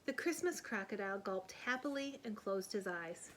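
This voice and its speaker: A woman reading a children's story aloud in an expressive storyteller's manner. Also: mid-range voice, moderate pace, heavy background noise. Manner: expressive, animated delivery of dialogue. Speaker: storybook reader. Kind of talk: scripted read-aloud storytelling.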